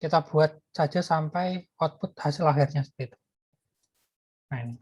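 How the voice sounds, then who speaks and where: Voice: medium pitch, fairly even intonation, moderate pace, darkish tone, husky. A lecturer walks through code as he types it, thinking aloud and often stopping to restart a phrase. The sound is dull and close, with no room echo, as from a computer mic. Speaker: programming lecturer. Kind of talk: live coding lecture.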